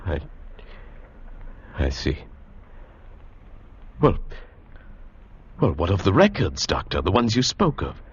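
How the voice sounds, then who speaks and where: Haunted man, wildly expressive. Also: low-pitched, slow pace, pauses often, husky, heavily acted. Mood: agitated. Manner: intense, melodramatic. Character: haunted, desperate man narrating his supernatural ordeal. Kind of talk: vintage radio drama acting and narration. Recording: muffled vintage radio broadcast recording.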